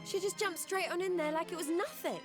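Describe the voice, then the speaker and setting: A woman's voice: very high-pitched, a little fast, music in the background. A woman playing a spirited young heroine who speaks plainly and casually. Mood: plucky. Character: spirited young woman hero, plucky and direct. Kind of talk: game cutscene acting.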